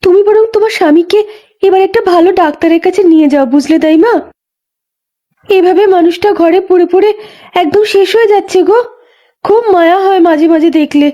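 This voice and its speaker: Woman acting a high-voiced young village woman. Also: a little fast, dark tone. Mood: sympathetic. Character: friendly, sympathetic young pregnant village woman. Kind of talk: dramatised village horror story dialogue.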